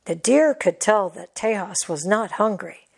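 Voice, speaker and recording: A woman's voice: medium pitch, lively intonation, unhurried, gravelly, a little mellow, breathy edge. A woman reading a scripted children's story as a storybook narrator. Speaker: storybook reader.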